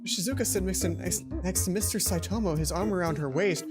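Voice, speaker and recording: A woman's voice: lowish voice, fairly quick, over music. A woman hosting a let's play, reading a visual novel aloud and adding casual commentary.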